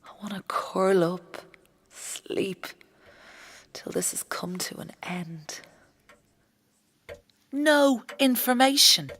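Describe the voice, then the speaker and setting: A woman's voice: medium pitch, animated, drawn-out words, pauses often, heavily acted. A woman playing an anxious working-class young mother who fears for her husband, in an intimate audio drama monologue. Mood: weary dread, then agitated, resolute. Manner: hushed, breathy inner thoughts broken by spoken-aloud outbursts. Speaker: actor in a solo audio play.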